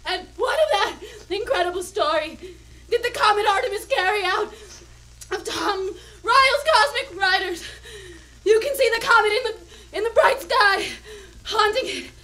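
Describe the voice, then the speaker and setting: Woman acting frantic, high-pitched radio newswoman. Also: normal speed, sharp tone, rough recording. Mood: shocked, anguished. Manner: breathless, melodramatic eyewitness commentary. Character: terrified female radio reporter witnessing a monster on the loose. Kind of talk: 1920s radio drama acting. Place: dark small theater stage. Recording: live stage show, music and audience behind.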